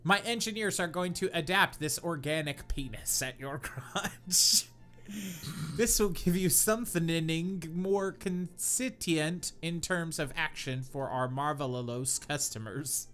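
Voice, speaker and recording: A man co-hosting a comedy podcast, reading bad fanfiction aloud in a deadpan voice that keeps cracking into laughter. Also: a little high, takes it slowly. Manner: reads deadpan, breaks into laughter.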